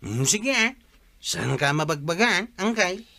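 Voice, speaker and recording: A fed-up nephew in a radio comedy. His slightly high, sharp voice scolds in exasperation. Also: big pitch swings, a little slow, dry recording, full character voice. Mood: exasperated, resigned. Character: exasperated nephew of the captain relaying complaints. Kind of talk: comedy radio drama acting.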